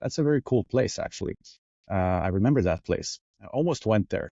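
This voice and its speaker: A man's voice: on the low side, lively intonation, brisk, mellow, dry recording. A man hosting an interview show, friendly and enthusiastic as he asks his guest questions. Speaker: podcast host. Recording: dull lo-fi audio.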